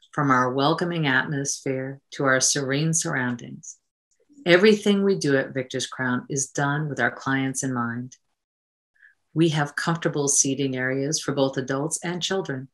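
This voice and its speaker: A middle-aged woman hosting in a measured, formal way, as if presenting from a script. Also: rumbling low, a bit bright. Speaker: host, counsellor.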